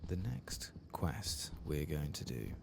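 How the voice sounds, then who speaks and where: A man with an extremely low, gravelly voice speaks softly and often drops into a whisper. He murmurs his way through a game like an ASMR host, unhurried and talking to himself. Loud steady noise runs behind him. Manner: hushed, unhurried, muttering to himself. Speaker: streamer and host. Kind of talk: ASMR soft-spoken and whispered gaming commentary.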